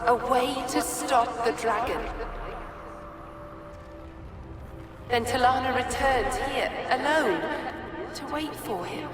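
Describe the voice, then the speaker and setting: A woman voicing a sorrowful, confused spirit in a video game, speaking in halting, dreamy fragments. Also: a little high, crowd noise, natural voice. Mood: wistful, grieving. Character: sorrowful confused female spirit echoing a dead woman's memories. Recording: cavernous echoing reverb, distant, music behind.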